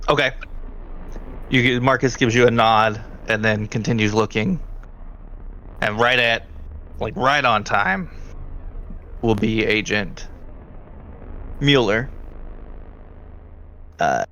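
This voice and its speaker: Gravelly man running a tabletop game. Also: fairly low pitch, slow, pauses often, sharp tone, low-quality recording. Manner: casual, conversational. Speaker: game master. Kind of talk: narrating a tabletop role-playing game, improvised.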